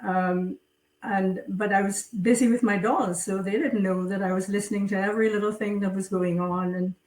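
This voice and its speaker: A middle-aged woman from Trinidad, an author talking about her writing in an interview, slowly, with many fillers and pauses. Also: medium pitch, darkish tone. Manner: slow, hesitant, many fillers. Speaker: guest author. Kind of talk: interview conversation. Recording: roomy, distant from mic.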